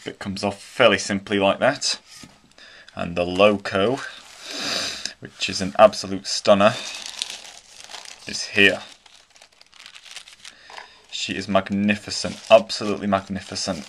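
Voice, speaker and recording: A man's voice: on the low side, a bit bright, slight background noise. A man with a British accent chatting casually and eagerly while unboxing a model locomotive at home, rambling with many restarts. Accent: British English. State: slightly croaky throat. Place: indoors at home, carpeted floor.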